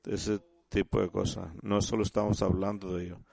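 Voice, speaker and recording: Low gravelly man preaching. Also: flat delivery, a little mellow, no echo. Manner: halting, repeats and restarts words; addresses listeners as "usted". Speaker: church speaker. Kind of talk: sermon.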